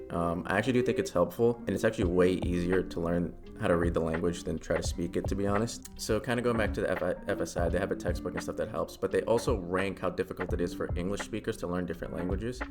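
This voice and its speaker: A young American man hosting a channel in a casual, self-deprecating explainer voice-over, speaking informally. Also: low-pitched. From United States. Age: young adult. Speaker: language-learning channel host.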